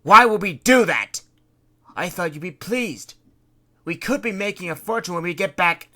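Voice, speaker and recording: A woman's voice: lowish voice, normal speed, bright, forceful tone, voice pushed up. A woman voicing a bossy man who leads a ship's crew and demands to be obeyed. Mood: angry. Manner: pushy, demanding. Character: bossy adult man, ship's expedition leader.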